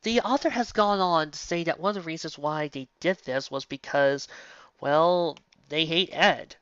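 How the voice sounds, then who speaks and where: A woman's voice: low-pitched, moderate pace, clean tone, no echo. Woman ranting in a dull, dry recording. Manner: incredulous, exasperated ranting with rhetorical questions. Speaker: fan fiction reviewer and commentator. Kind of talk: casual, unscripted opinion commentary.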